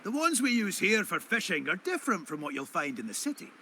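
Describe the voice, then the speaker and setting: A man's voice: sits high, very animated, brisk, pushed and bright, a little hiss or hum, heavily acted. An actor voicing a spirited, boastful old retired fisherman in a video game, with folksy old-salt talk. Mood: welcoming, matter-of-fact. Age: older. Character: spirited old retired fisherman, hearty whale-hunting sea dog. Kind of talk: video game character acting. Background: music.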